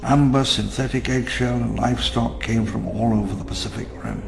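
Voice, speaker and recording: An actor playing a wistful elderly park founder, narrating his memoirs in a measured, theatrical, ruminative way for a game audio log. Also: medium pitch, fairly even intonation, close to an everyday voice. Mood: wistful, reflective. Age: older. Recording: long reverb under clear music.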